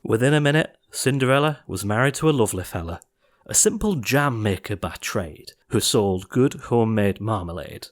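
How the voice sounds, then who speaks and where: A man narrating an audiobook of rhyming verse in a theatrical, expressive storytelling style. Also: on the low side, moderate pace.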